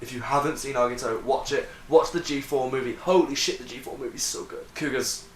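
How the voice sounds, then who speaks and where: Fast-talking man, roomy echo. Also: mid-range voice, steady tone, crowd noise. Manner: blunt, enthusiastic, swears casually. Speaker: host ranking costumes with friends. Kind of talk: casual chat, slangy opinionated banter. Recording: roomy echo with clear background noise.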